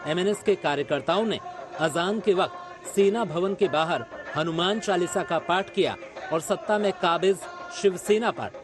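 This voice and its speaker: A man's voice: high-pitched, moderate pace, crowd noise. A man reading a scripted television news report as its narrator. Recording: music under the voice.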